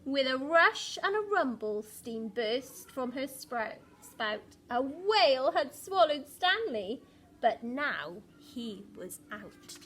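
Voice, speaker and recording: High-voiced woman reading to young children. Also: slow pace, slight background noise. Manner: animated, dramatic emphasis, asks the listeners questions. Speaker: primary school teacher. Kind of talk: expressive picture-book reading for young children.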